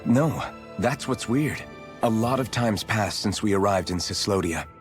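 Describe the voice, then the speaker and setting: A man's voice: lowish voice, expressive, a little slow. A man playing an earnest, questioning young rebel hero in a video game cutscene. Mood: puzzled. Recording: music behind.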